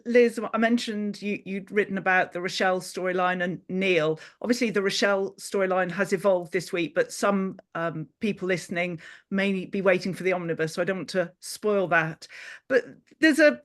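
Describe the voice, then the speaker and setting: A woman hosting a panel, putting questions to guests in a conversational interview style. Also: medium pitch.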